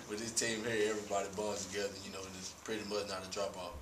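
A young man, a college basketball player, answering postgame questions at a press conference in a relaxed way, with many fillers. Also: lowish voice, fast talker. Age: young adult. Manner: relaxed, laughs easily, many "you know" fillers. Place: small press room. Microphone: table mic. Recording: roomy echo, distant mic, hum.

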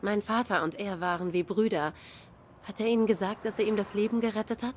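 A woman's voice: medium pitch, steady tone, brisk, a little mellow, slight background noise, natural voice. An actress playing a grieving young cat woman in a noir game, guarded, bitter and sardonic in a subdued way. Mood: reflective. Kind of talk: noir game dialogue acting.

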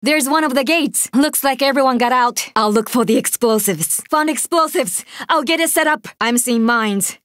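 A woman's voice: sits high, moderate pace, few pauses, a bit bright, no room sound, clean studio sound. An actress playing an earnest, resolute young female rescue soldier in a video game, speaking in military callouts. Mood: focused, brisk. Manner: earnest, urgent, military radio phrasing. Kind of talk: video game voice acting, barked combat callouts.

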